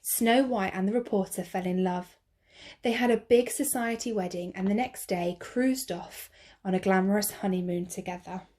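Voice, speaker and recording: A woman teacher reading a picture book aloud to children, slow, clear and expressive. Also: mid-range voice, slight background noise.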